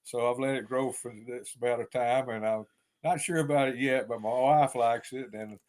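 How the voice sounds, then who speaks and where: Older East Texas man, interview guest, rambling and chuckling, mid-pitched voice. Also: fairly even intonation, normal speed, a little mellow. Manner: rambling, many fillers and restarts, chuckles often. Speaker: author guest. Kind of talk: casual interview chat.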